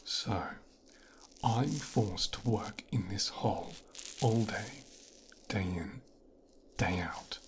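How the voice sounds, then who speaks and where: A man playing an arrogant, bitter young son of a mafia boss in an ASMR roleplay, coldly menacing, with a breathy half-whisper and a deliberate, condescending delivery. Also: fairly low pitch, slight background noise. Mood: disgruntled, contemptuous. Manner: slow, deliberate, condescending, profane. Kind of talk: scripted ASMR roleplay, speaking directly to the listener.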